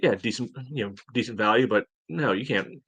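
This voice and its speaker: Low-voiced man in his forties, close and dry. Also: a little fast, fairly bright tone, breathy edge, no echo. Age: 40s. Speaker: soccer fan podcast co-host. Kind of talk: casual chat.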